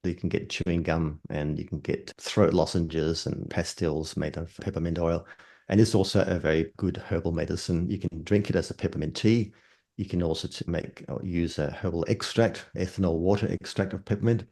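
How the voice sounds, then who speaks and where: An older man from Denmark, a herbalist calmly explaining remedies in an interview, defining terms as he goes. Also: low-pitched. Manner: measured, explains terms step by step.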